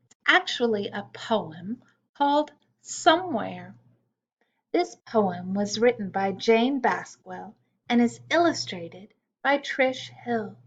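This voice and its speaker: Slow, gentle woman reading a poem. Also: fairly high pitch, lively intonation, husky, dull audio. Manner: slow, gentle, drawn-out reading with soft hushed asides. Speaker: storytime reader. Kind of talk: reading a picture-book poem aloud to children.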